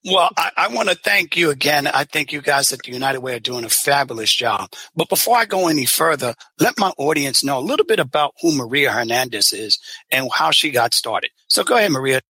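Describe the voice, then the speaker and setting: A man's voice: medium pitch, lively intonation, fairly quick, bright, forceful tone, breathy edge, dry recording. A man hosting a community podcast, welcoming and questioning a guest in a courteous way with small restarts. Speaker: podcast host.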